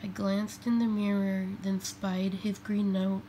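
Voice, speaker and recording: A woman reading a children's picture book aloud in a deliberate way, phrase by phrase. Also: mid-range voice, flat delivery, warm tone, rough recording. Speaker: storybook reader. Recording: faint background noise, home recording.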